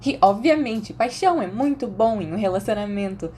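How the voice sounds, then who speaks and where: A woman's voice: medium pitch, animated, moderate pace, dark tone, a little hiss or hum, lightly acted. A woman voicing a brash, fiery teenage schoolgirl in an audiobook, with a cheeky, emphatic and dramatic way of talking. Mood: chatty, emphatic. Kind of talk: audiobook character acting.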